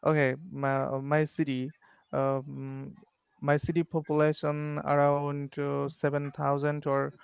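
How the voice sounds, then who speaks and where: Bangladeshi man, English learner on a video call, gravelly yet smooth, dry sound, hesitant and full of fillers. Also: on the high side, monotone, no echo, muffled recording. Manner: halting, many fillers and restarts. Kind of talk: online English lesson conversation.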